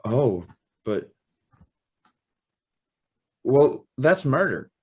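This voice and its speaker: A man's voice: medium pitch, big pitch swings, very slow, husky, heavily acted. A man playing an earnest, hesitant young man in love in an old-time radio style drama. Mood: appalled. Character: earnest, smitten young man, increasingly horrified guest.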